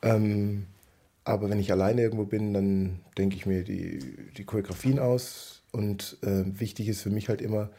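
This is a man with a head cold, talking in a husky, slightly rough voice. His tone is low and mellow. He chats in an upbeat, loose way as a podcast guest. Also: fairly even intonation, normal speed. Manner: upbeat, chatty. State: has a cold. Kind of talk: casual chat.

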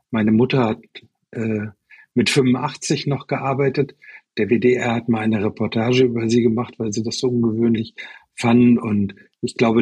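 Husky-voiced chef in his sixties. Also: on the low side, no room sound. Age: 60s. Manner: relaxed and expansive, with many fillers. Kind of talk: conversational interview answers.

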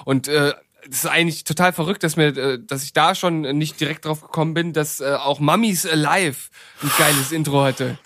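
A man with a slightly high voice talks casually on a podcast panel. The sound is close and dry. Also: steady tone, normal speed, fairly bright tone, no room sound.

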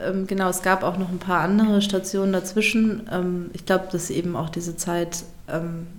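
Reflective woman chef with a flat, low voice. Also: normal speed. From Germany. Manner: reflective, searching for words, frequent fillers. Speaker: chef, interview guest. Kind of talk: casual interview talk.

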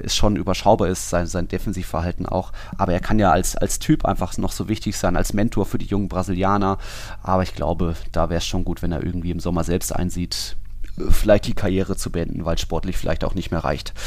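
Low-voiced man in a casual football talk. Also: dry recording. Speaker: football podcast co-host.

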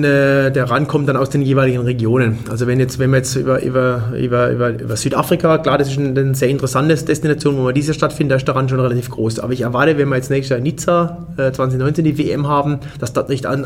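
Fast-talking German man in his forties. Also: medium pitch. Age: 40s. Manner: fast, restarts and repeats words. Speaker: race organizer executive. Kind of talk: casual interview talk.

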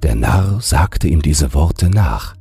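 A man's voice: a bass voice, animated, slow pace, vocal fry, husky. A man narrating a scripted bedtime fairy tale as an audiobook reader. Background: soft music.

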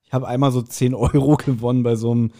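A man's voice: mid-range voice, level tone, fast talker, dark tone. A man chatting casually as a podcast co-host, recalling stories in a loose, rambling way as he thinks aloud. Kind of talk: casual chat, personal anecdotes.

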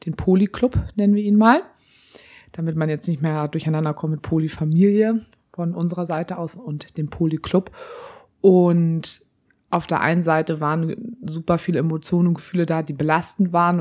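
Chatty slightly hoarse woman co-host. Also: sits low, darkish tone. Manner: chatty, laughs often, rambling restarts. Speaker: podcast co-host. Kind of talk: casual chat.

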